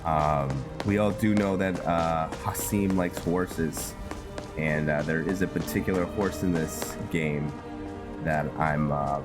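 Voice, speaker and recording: Male gameplay host, very low voice, casual and halting delivery, music clearly behind him. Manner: halting, thinking aloud with pauses mid-sentence. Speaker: gameplay host narrating a roleplay series. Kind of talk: casual, rambling gameplay commentary.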